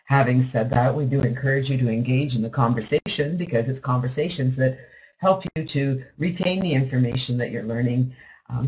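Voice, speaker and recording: A man's voice: mid-range voice, poor audio. Upbeat rambling man hosting a webinar. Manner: upbeat and chatty, rambling with restarts. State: lingering slight cough. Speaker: webinar host. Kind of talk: casual talk on a live webinar call.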